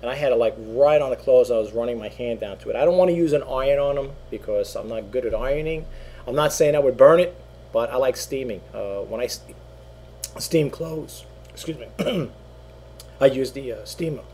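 A man's voice: mid-range voice, normal speed, warm tone, a little hiss or hum. A middle-aged man casually showing items he is selling online from a small home office, rambling with many fillers and repeats, his voice wearing thin. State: losing his voice. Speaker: online seller. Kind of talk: casual product walkthrough. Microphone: webcam at desk.

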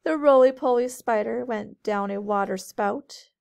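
A woman teacher reading a storybook aloud to children in a measured, sing-song way. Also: on the high side, vocal fry, darkish tone. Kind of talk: storybook read-aloud narration.

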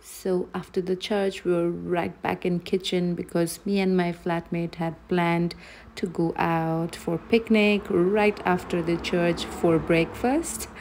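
A woman's voice: on the low side, little pitch movement, takes it slowly, a little mellow, slight background noise, rough recording. A young adult woman vlogger talking casually and narrating her day. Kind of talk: casual vlog narration and chat.

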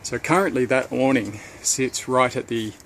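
A man's voice: mid-range voice, a bit bright, slight background noise. Man musing through a home renovation tour. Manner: unhurried, pausing often with ums and restarts. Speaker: homeowner giving a renovation tour. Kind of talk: casual thinking-aloud walkthrough. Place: outdoors around a house, under a deck and in a garden. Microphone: handheld camera held by the speaker.

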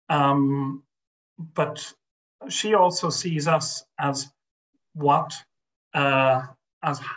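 A man's voice: a little high, fairly bright tone, low-quality recording. An older man, a guest scholar speaking over a video call from a home study, thoughtful and hesitant with many restarts and fillers. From Ireland. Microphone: webcam on video call.

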